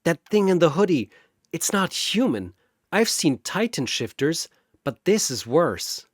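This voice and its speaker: A man voicing a blunt, excitable young female soldier who is always hungry. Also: fairly high pitch, unhurried, no room sound, clean studio sound, pitched above the performer's own voice. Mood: serious. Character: young female soldier, eager and food-loving.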